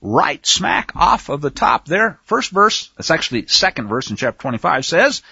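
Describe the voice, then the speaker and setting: A man's voice: on the high side, very animated, normal speed, vocal fry, sharp tone, husky, no room sound, highs cut off. A man teaching from the Bible in a folksy, emphatic way, with sarcastic asides and frequent restarts. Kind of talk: folksy scripture commentary.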